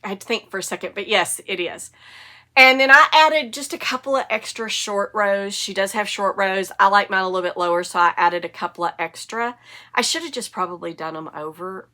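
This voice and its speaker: A woman's voice: medium pitch, pushed and bright. A woman, sniffly from a cold, hosting a casual knitting podcast in a chatty, enthusiastic, rambling way. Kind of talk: casual show-and-tell chat.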